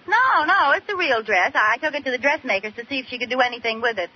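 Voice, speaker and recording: An actress playing a scatty, eager housewife who is comically flustered and expressive, in an old radio sitcom style. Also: female voice, high-pitched, fast, slightly rough. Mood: sheepish, chatty. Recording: muffled, noisy vintage radio recording.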